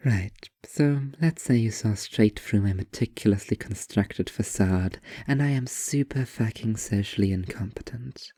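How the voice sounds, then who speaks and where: Man as a sullen, low-voiced young writer. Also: unhurried, hardly any pauses, dark tone, no room sound. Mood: curt, defensive. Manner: curt, pompous, world-weary.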